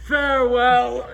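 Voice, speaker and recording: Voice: medium pitch, gravelly, fairly bright tone, heavily acted. A student actor playing a starving, ancient prophet, frail and pleading, in theatrical stage style. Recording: echoey hall, noisy distant stage recording.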